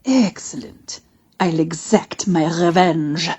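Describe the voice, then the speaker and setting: Slow, scheming villainous nurse. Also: female voice, deep voice, a little mellow, slightly rough, heavily acted. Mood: scheming, vengeful. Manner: imperious, menacing. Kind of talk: comedy audio drama acting.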